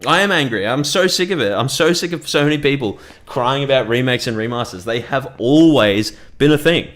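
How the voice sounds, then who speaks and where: A man's voice: medium pitch, expressive, a little fast, fairly bright tone. A man streaming a game, ranting casually with swearing and frequent laughs. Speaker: gaming streamer. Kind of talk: gameplay commentary.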